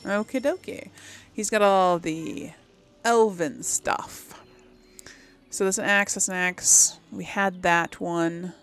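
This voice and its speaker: A woman's voice: lowish voice, slow, a bit bright, clean tone. A woman giving casual commentary as she plays a game, thinking aloud in a musing way. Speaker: gamer, series host.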